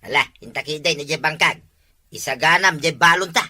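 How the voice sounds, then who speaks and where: Woman, Ilocano accent, low voice, bright tone, nagging, faint music. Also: brisk. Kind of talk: Ilocano comedy skit acting.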